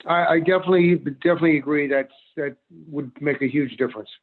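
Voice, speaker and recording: A man in his sixties from Connecticut, a commercial broker testifying at a remote public hearing, speaking haltingly with many fillers. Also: fairly high pitch. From Norwalk, Connecticut. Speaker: commercial real estate broker. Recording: tinny phone-line audio.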